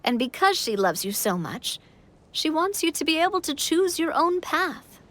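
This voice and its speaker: Young fantasy game monarch, female: high register, kind, composed, earnest, faint background noise. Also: very animated, fairly bright tone. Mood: gentle, earnest. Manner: earnest, reassuring, measured speech. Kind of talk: English-dubbed game cutscene acting.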